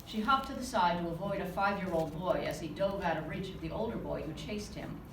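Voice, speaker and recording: A woman author reading aloud from her novel to a live audience, giving the dialogue a lively touch. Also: fairly low pitch, fairly quick. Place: conference room. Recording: roomy, distant with background noise.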